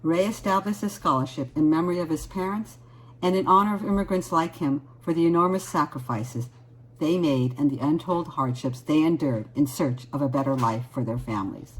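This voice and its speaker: Low husky-voiced woman, board member introducing a scholarship winner, measured. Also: poor audio. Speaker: foundation board member presenting an award. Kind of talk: prepared award introduction.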